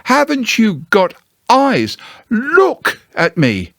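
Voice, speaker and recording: A man reading a storybook aloud and voicing a small, timid male piglet with a squeaky, flustered voice. The piglet splutters and cries out in protest. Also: wildly swooping pitch, drawn-out words, vocal fry, sharp tone, no room sound, studio quality, exaggerated voice. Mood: indignant.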